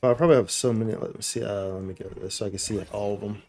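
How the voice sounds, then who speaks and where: A man's voice: fairly low pitch, fairly even intonation, rapid-fire, darkish tone. A man doing casual live gameplay commentary, thinking aloud in slangy, repetitive phrases. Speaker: game livestreamer. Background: faint game music.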